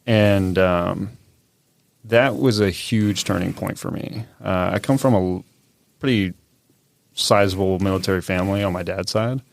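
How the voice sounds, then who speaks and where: A man's voice: sits low, unhurried, vocal fry. A man in his thirties from California, a soldier talking casually as a podcast guest, easygoing and loose with frequent filler words. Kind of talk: casual interview talk.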